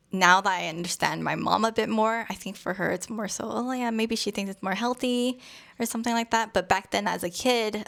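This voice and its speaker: Soft-spoken young woman, gravelly low voice. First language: Cantonese. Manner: soft, hesitant storytelling with many fillers. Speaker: co-host. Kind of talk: casual chat.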